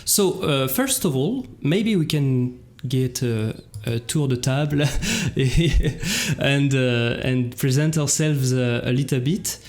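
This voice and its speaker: A man's voice: mid-range voice, expressive, slow, hardly any pauses. A man hosting a casual livestream chat and introducing guests, often repeating words as he gropes for phrasing. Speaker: show host.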